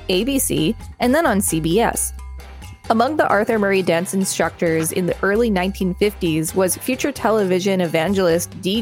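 A woman podcast co-host reading encyclopedia material aloud and chatting casually between passages. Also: fairly low pitch.

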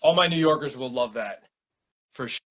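A man's voice: medium pitch, normal speed, a bit bright. An American man with a laid-back, slangy way of talking, a guest poet chatting casually and reading his work. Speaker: guest poet and small press publisher. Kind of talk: casual interview chat and poetry reading.

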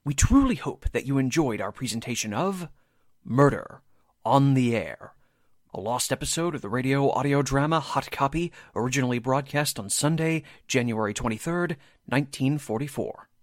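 Casting: male announcer for scripted radio theater, animated melodic read, dry studio sound. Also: mid-range voice, normal speed, dry recording. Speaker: radio drama announcer and voice actor.

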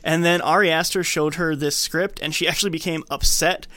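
A man's voice: on the high side, steady tone, brisk, sharp tone, no echo. A man co-hosting a podcast, casually recapping and commenting on film scenes. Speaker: podcast co-host.

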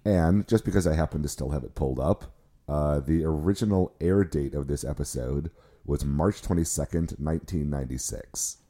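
A man hosting a casual podcast, his voice hoarse and lowered by illness, chatty and joking with many fillers. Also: normal speed, dark tone. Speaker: podcast host. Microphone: recording headset.

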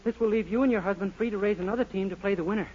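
Casting: actor as guileless boyish youth, high voice, quick earnest delivery, vintage radio comedy. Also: male voice, a little mellow, natural voice. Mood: reciting earnestly. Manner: innocent, guileless. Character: naive, earnest, boyish young man. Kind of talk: 1940s radio sitcom acting. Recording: muffled, noisy old radio recording.

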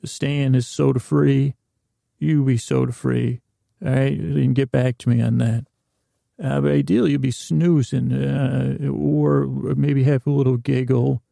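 A man hosting a bedtime podcast with a rambling, halting, goofy improvised monologue that trails off mid-sentence. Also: medium pitch, steady tone, gravelly, warm tone, no room sound, clean studio sound. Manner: goofy, whimsical. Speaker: podcast host.

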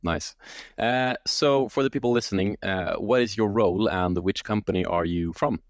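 A man's voice: on the low side, normal speed. A man hosting a podcast, reading a scripted intro, then asking questions hesitantly with many filler sounds. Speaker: podcast host.

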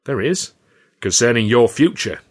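A man's voice: medium pitch, expressive, moderate pace, slightly rough. Guy doing a smug bossy showman in an audiobook, big grandiose speechy voice, very theatrical. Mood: conspiratorial. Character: grandiose showman boss, a smug, avuncular, domineering Englishman.

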